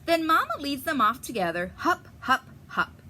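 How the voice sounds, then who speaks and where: A woman reading a picture book aloud to children in a teacherly way, pausing to explain and ask them questions. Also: high voice, sing-song, pushed and bright, slight background noise. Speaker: story reader for children.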